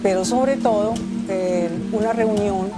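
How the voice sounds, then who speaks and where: A woman with a Colombian accent recalling events in an interview, pausing often. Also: mid-range voice, over loud music. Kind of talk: interview recollection.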